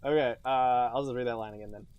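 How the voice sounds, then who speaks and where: A man's voice: medium pitch, steady tone, fast, smooth, highs cut off. A man co-hosting a comedy podcast, chatting casually and playfully and laughing easily at the material. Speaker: podcast co-host.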